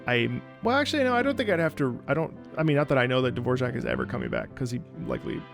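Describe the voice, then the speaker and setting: Creaky-voiced man giving casual game commentary. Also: medium pitch, lively intonation, very fast, fairly bright tone, faint music behind. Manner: informal, thinking aloud, jokey. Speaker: game streamer, former opera singer.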